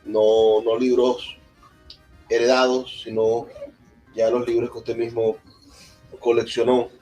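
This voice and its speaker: A man interviews a guest at a public talk. His voice is flat in pitch and reflective, with light music underneath. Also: slow, low-quality recording. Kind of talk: conversational interview introduction. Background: faint music.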